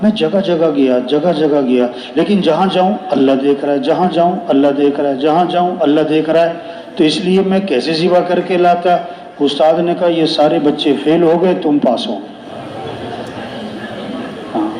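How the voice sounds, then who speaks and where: Echoey, high-pitched male preacher. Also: moderate pace, warm tone. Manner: repeats phrases for emphasis; rhetorical question and answer. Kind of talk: sermon with moral counsel and storytelling. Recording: echoey, reverberant room sound.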